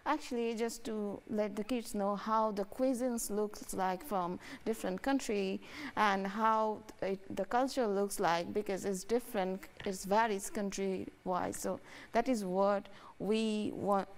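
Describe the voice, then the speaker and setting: A woman's voice: mid-range voice, steady tone, unhurried, hardly any pauses. A young woman from Bangladesh, a student guest in a TV studio interview, speaking earnestly. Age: young adult. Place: TV news studio.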